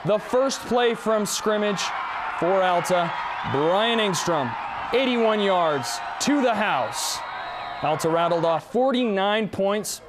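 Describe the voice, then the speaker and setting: A young American man narrating high school and college sports highlights with an energetic, punchy delivery. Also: slow pace, over a noisy crowd, very noisy. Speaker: sports reporter intern.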